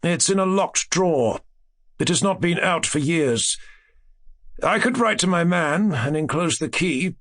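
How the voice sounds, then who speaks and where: Casting: male narrator as grave, haunted gentleman of a classic ghost story, hesitant and solemn, pitched high with swooping inflection. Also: lively intonation, unhurried. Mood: reluctant, grave. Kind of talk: acted dialogue in classic ghost story audiobook.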